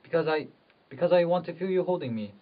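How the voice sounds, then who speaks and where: A man playing an anguished, impulsive rural husband in love, fervent and halting, in an amateur drama. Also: on the high side, lively intonation, lightly acted. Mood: halting tenderness. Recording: dull, distant microphone.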